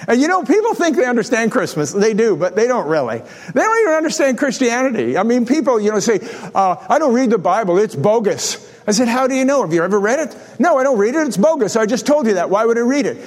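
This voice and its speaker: Man in his sixties preaching, high voice. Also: sing-song, quick pace, hardly any pauses. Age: 60s. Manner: storytelling, self-deprecating humor. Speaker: pastor. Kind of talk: sermon with scripture reading and jokes. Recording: echoey hall with long reverb.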